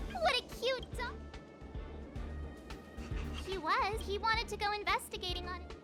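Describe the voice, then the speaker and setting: A woman playing a bubbly, chatty, excitable teenage girl adventurer in a video game, with a breezy, expressive manner. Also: extremely high pitch, slow, music in the background, exaggerated voice. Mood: excited, chatty.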